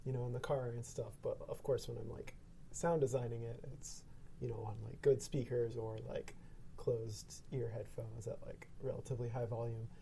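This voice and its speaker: A man with a mellow, medium-pitched voice talks as a guest on a podcast. He is casual, thoughtful and a little hesitant. Faint room noise runs underneath. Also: flat delivery, moderate pace, vocal fry. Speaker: podcast guest, sound designer. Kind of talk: casual round-table chat.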